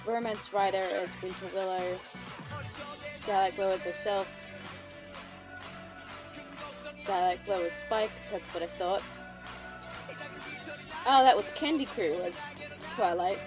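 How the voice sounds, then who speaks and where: A woman's voice: mid-range voice, a little slow, pauses often, low-quality recording. Chatty woman reacting over music. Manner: chatty, amused. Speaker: reaction commentator. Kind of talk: casual reaction commentary.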